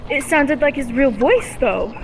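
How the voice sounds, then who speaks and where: A woman's voice: sits high, expressive, a little slow, rough recording. A young woman playing a curious, admiring assistant to a scientist in an old-time radio serial. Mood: amazed. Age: teenager or young adult. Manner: inquisitive, eager. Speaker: student actor. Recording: faint background noise.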